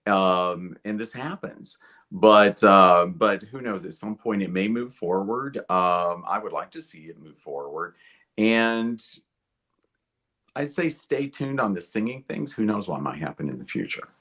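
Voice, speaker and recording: A man from Kentucky with an American accent, talking casually and thoughtfully as an interview guest in a measured way. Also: on the low side, lively intonation, fairly bright tone.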